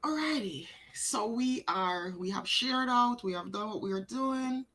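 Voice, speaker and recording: A woman co-hosting a live psychic reading stream, chatting with viewers and greeting them by name, a little hoarse. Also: a little high, unhurried, a bit bright. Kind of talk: casual live-stream chat.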